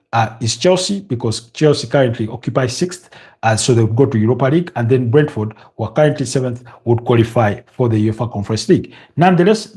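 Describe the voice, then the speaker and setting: A man with a Ugandan accent hosts a casual live football update and chats with viewers, talking off the cuff, with frequent fillers and pauses to recall names. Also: mid-range voice, warm tone. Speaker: host of a football news livestream. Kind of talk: casual live commentary reading viewer comments.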